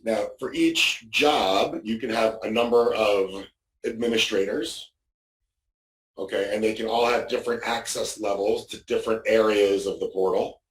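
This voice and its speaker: A man with a fairly low voice walks through a software demo in an informal, explanatory way. He often checks in with a short "okay" between steps. The sound is quite roomy and echoey, picked up from some distance off the microphone. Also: steady tone, normal speed, a little hiss or hum. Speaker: product demonstrator.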